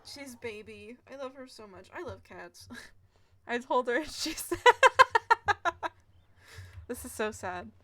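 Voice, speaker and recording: Giggly high-voiced woman streamer, very lively. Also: takes it slowly, pauses often. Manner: giggly, gushing, reacting aloud. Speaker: game streamer. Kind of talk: casual live gameplay commentary. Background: game music.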